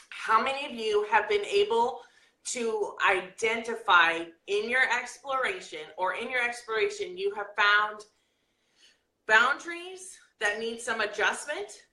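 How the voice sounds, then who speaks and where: Bright-voiced woman coaching in an echoey room. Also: on the high side. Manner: lively, reads viewer comments aloud, turns soft and slow for the breathing exercise. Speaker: life coach hosting a live video. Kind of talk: coaching talk and guided breathing.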